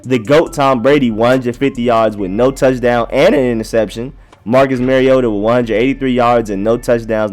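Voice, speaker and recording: A young man hosts a sports show, his voice hoarse and worn from a cold. He talks in a slangy, chatty way and leans hard on his points. Faint music plays under him. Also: medium pitch, darkish tone. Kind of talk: casual sports commentary.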